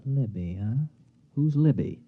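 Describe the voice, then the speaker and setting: A man's voice: medium pitch, animated, measured pace, breathy edge, dry recording. An actor playing an educated, condescending academic in a 1950s radio drama, stammering and impatient in his delivery. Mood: probing, puzzled. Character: educated, excitable, condescending male academic researcher. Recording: muffled vintage radio recording.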